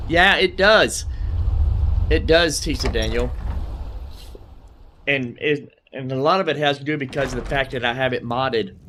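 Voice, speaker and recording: A man's voice: medium pitch, unhurried, pauses often, bright, forceful tone, a little hiss or hum. Folksy male game streamer. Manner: folksy, thinking aloud, repeats phrases. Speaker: gaming streamer. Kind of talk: casual live game commentary.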